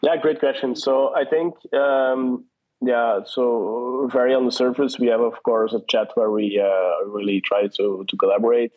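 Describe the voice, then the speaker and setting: A man talks through his answers in a casual online chat. His pitch barely moves, and he stops and restarts often. The audio sounds muffled and lo-fi, like a voice call. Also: steady tone, measured pace. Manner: hesitant, many restarts and fillers. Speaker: guest from a partner project.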